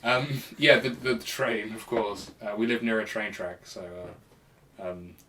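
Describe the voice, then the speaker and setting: A man's voice: fairly low pitch, steady tone, a little slow, pushed and bright, rough recording. A man hosting a podcast in casual, rambling, jokey chat with a friend. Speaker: podcast host. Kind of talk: casual chat about retro games.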